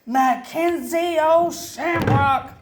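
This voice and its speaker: A woman voicing a grouchy leprechaun who shouts and growls indignantly. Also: high-pitched, very slow, noisy background, voice pushed up. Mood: irritated. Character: grouchy male leprechaun shoemaker.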